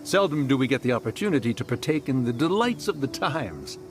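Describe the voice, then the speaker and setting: A man's voice: mid-range voice, very animated, fairly quick, over music, lightly acted. A man voicing a dignified ancient male spirit with formal, old-fashioned speech in a video game. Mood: wry.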